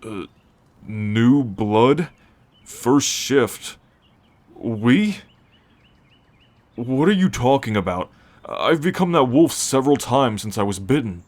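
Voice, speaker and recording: A man's voice: mid-range voice, lively intonation, darkish tone. A man playing an anxious, confused, newly bitten werewolf held in a cage, speaking hesitantly in an ASMR roleplay. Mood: confused, wary. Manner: hesitant, halting.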